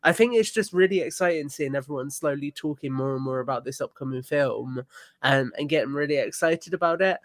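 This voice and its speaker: Male podcast guest, lightish higher pitch, dry recording, keen conversational tone. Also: a little fast, a bit bright, no echo. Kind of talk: casual enthusiastic chat.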